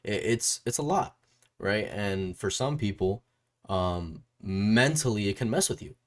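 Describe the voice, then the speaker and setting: A man's voice: fairly low pitch, lively intonation, measured pace. A man hosting a casual interview as a music YouTuber, rambling, repeating his phrases and often checking in with a questioning tag. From Puerto Rico or Puerto Rican family.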